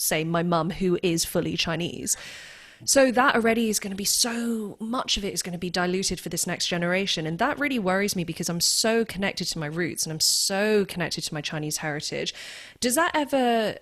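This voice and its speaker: A woman's voice: fairly low pitch, a bit bright. A woman hosting a podcast, talking candidly and reflectively in a personal, conversational interview style. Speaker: podcast host.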